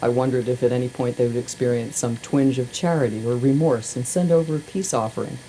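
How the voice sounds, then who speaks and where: A woman narrates a humorous memoir in a very low, mellow voice. Her storytelling is expressive, wry and deliberate. It sounds like an amateur cassette recording with faint noise. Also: rough recording. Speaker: radio personality reading aloud.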